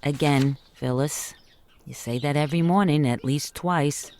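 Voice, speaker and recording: A woman's voice: low voice, a little slow, a little mellow, slight background noise. A woman playing a dry, teasing, curious housemate in a radio play, with a wry way of talking. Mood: dry, needling.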